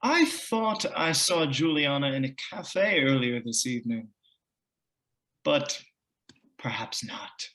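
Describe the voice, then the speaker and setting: A man reading a polite, guarded male writer at a table read, measured in delivery. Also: mid-range voice, big pitch swings, sharp tone, exaggerated voice.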